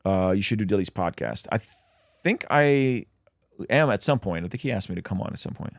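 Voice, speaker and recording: A man's voice: fairly low pitch, lively intonation, a little fast, no echo, studio quality. A man, a native Russian speaker, hosting a casual livestream where he reads out chat questions and answers them with plenty of ums.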